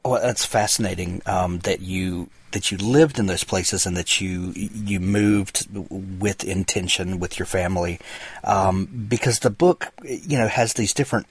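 Male host interviewer; deep, husky voice, halting delivery, close and dry. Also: no room sound. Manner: halting, many fillers and restarts. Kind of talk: interview conversation.

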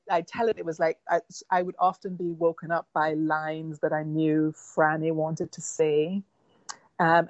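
Middle-aged novelist with a mixed Jamaican-English accent; low, flat delivery, reflective, muffled lo-fi recording. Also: female voice, dry recording. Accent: mixed Jamaican, Caymanian and English. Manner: reflective, measured, with filler ums. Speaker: novelist guest. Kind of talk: interview conversation.

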